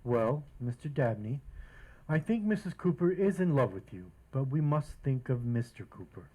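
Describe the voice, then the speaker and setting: A man's voice: medium pitch, lively intonation, moderate pace, mellow, natural voice, low-quality recording. A man playing a pompous, declamatory judge in an old-time radio comedy. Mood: mock-solemn. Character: brisk theatrical male domestic-court radio judge.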